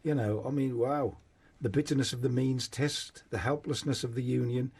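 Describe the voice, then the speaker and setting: A man's voice: mid-range voice, fairly even intonation, moderate pace, gravelly, a little mellow, breathy edge. A man hosting a conversational radio discussion, speaking in an emphatic, exclamatory way. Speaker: radio host.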